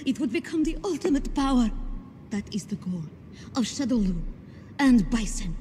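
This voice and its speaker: An actress playing a poised, calculating secret organiser in a video game, speaking in a composed, formal and persuasive way. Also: female voice, fairly high pitch, expressive, normal speed, dark tone, close to an everyday voice. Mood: grave, persuasive. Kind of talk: video game cutscene voice acting. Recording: reverberant hall, faint background noise.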